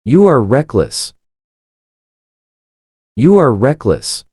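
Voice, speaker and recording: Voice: mid-range voice, sing-song, extremely slow, darkish tone, slightly rough, no room sound, studio quality. A voice modeling short phrases for learners, saying each one twice and carefully. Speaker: pronunciation model. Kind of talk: slow phrase drills.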